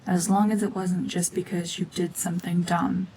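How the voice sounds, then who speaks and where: A woman acting a cold, domineering mutant witch in an ASMR roleplay drama, hushed and often whispering, with terse, guarded commands. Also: on the low side, flat delivery, normal speed, slight background noise, lightly acted, poor audio. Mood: guarded, softening. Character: renegade mutant cyborg gorgon witch, female, cold, domineering, slowly softening.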